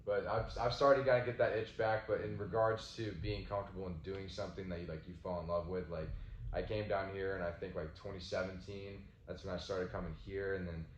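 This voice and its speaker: A very deep-voiced man from Southwest Florida rambles through casual talk, leaning on "like" and "you know". The sound is a bit dull and muffled. It bounces around an echoey room. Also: level tone, fairly quick, a little hiss or hum. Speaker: ring announcer and co-host. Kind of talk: casual chat.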